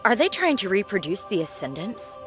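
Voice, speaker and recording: A woman's voice: medium pitch, big pitch swings, a little fast, lightly acted. An actress playing a tough, defiant, hot-tempered military agent in a video game, blunt and sardonic in her delivery. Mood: sardonic, probing. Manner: blunt, challenging, dramatic. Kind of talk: video game cutscene acting. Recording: music under the voice.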